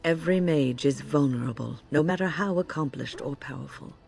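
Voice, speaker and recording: Grave woman mage, mellow timbre, gravelly edge, measured, reflective, fantasy game, music underneath. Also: low-pitched. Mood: grave, haunted. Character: earnest, thoughtful woman mage haunted by fear of possession. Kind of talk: video game dialogue acting.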